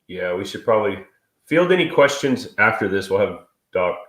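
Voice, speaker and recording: Sniffly man with a low voice, echoey room. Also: sing-song, normal speed, fairly bright tone. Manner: crude, joking, swears freely. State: stuffy and sniffly from a cold. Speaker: co-host of a live stream. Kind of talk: casual chat.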